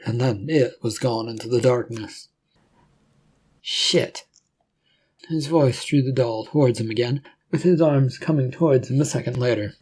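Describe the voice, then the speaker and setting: A man's voice: medium pitch, mellow, husky. A man reading scripted fiction as a narrator, telling the story in a dramatic way.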